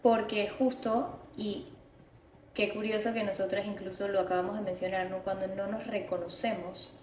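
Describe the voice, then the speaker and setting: A woman speaks as a podcast co-host in a thoughtful, explanatory manner. Her intonation stays flat and even. The sound is roomy and a little far from the microphone. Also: medium pitch, moderate pace. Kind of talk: reflective conversational discussion. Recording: roomy, distant mic, faint noise.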